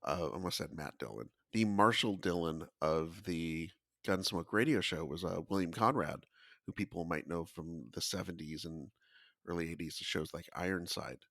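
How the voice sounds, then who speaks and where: Deep-voiced man explaining on a podcast. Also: normal speed, a bit bright, no echo, studio quality. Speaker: podcast panelist.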